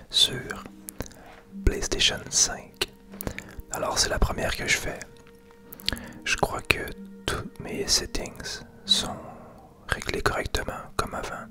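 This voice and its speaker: A man with a Québécois French accent whispering softly and unhurriedly to viewers in an ASMR gameplay commentary. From Quebec. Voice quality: soft unvoiced whisper. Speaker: video game streamer making ASMR gameplay videos.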